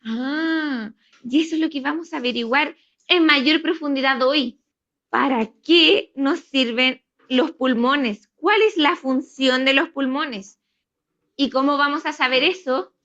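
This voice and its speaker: A woman's voice: high voice, slow, fairly bright tone. A woman teaching young children in a classroom, didactically asking guiding questions and repeating answers. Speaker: primary school teacher. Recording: dull lo-fi classroom video playback.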